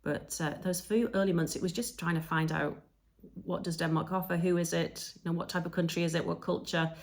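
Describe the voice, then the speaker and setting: English woman in her fifties, co-hosting a podcast, low-pitched, easygoing storyteller. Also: fairly even intonation, brisk. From England. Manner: chatty storytelling with frequent ums. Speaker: podcast co-host. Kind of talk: casual conversational podcast.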